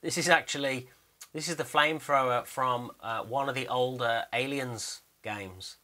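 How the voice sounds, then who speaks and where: A man's voice: mid-range voice, a little slow, sharp tone, faint music behind. A man giving a casual, unscripted commentary over a screen recording, explaining his animation work and thinking aloud as he goes. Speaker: 3D animator narrating behind-the-scenes work.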